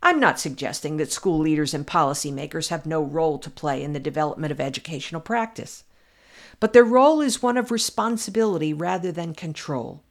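Measured woman reading her essay, lowish voice. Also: expressive. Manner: measured, deliberate reading with emphatic pauses. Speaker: podcast commentator.

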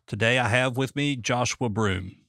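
A man hosting a talk show and interviewing a guest with short, relaxed questions. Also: mid-range voice, normal speed, no echo, studio quality. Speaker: podcast host.

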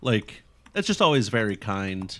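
A man's voice: lowish voice, very animated, moderate pace. A man running a tabletop game as game master, joking and easygoing, with frequent "uh" fillers.

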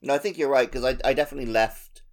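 A man's voice: mid-range voice, racing. A man co-hosting a gaming podcast, talking in a casual, conversational way. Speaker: podcast co-host.

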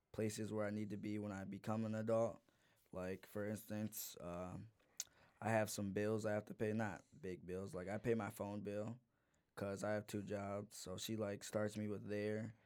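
Teen boy student, low flat smooth voice, shy and halting. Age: teens. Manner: shy, halting, many fillers. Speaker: student guest. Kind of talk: casual podcast chat.

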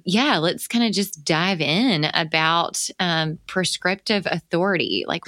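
Bright-voiced Southern woman podcast host. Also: fairly low pitch, no echo, studio quality. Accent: Southern American. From American South. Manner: friendly, chatty, laughs often. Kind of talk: interview podcast.

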